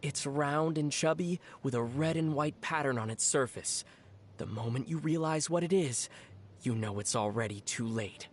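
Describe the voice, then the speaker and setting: An actor playing a calm young traveler in video game dialogue, with measured explanations and a dry, deadpan, teasing wit. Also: mid-range voice, noisy background, natural voice. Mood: teasing suspense.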